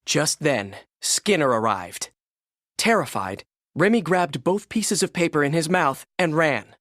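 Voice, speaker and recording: Male narrator for kids' read-along book, animated delivery with wide pitch movement, dry recording. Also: unhurried, no room sound. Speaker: storybook narrator.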